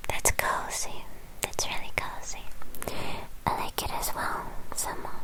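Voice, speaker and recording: A woman whispering in a breathy voice close to the microphone, telling a roleplay story for ASMR in a halting, playful way. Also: low-quality recording. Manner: slow, halting, playful delivery with soft pauses. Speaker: ASMR performer.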